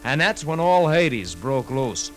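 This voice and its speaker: An actor playing an earnest, hard-boiled American sidekick in a slangy 1950s radio drama narration. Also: male voice, mid-range voice, big pitch swings, slow. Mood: wry recounting. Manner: colloquial, slangy. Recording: old radio recording, music underneath.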